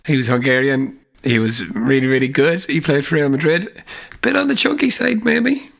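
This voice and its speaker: A man's voice: mid-range voice, expressive, moderate pace, creaky, a bit bright. A man co-hosting a podcast, chatting casually in a dry, teasing way and laughing often. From Ireland. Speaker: podcast co-host. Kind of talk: casual chat.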